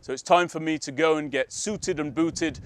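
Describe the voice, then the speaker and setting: A man hosting a documentary, narrating in a measured, solemn way and then interviewing a guest. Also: fairly high pitch. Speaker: host. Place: outdoors at a border lookout.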